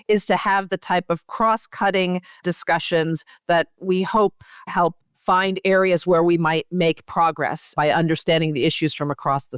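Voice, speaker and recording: A woman with an American accent and a clear, smooth voice, hosting a conversational interview. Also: fairly low pitch, no echo, studio quality. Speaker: podcast host and journal editor-in-chief.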